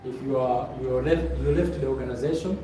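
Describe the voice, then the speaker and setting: A man's voice: mid-range voice, a little fast. A man with a Zambian accent instructing a live room as a motivational trainer, directing listeners, repeating phrases and checking that they follow. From Luapula, Zambia. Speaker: trainer leading a presentation course. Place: indoor training room with stage. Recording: very echoey room, distant mic, noisy.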